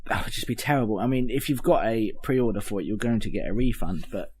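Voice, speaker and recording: A man hosting a casual podcast discussion, with a slightly husky voice. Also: on the low side, fast, darkish tone. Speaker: podcast host. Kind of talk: casual panel chat about games news.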